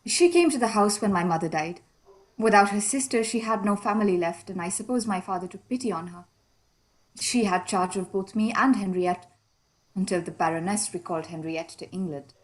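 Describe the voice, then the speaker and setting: A woman's voice: medium pitch, fairly quick, natural voice. A woman playing a wistful, reserved young Indian woman far from home in a period radio mystery, polite and guarded. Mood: wistful, open.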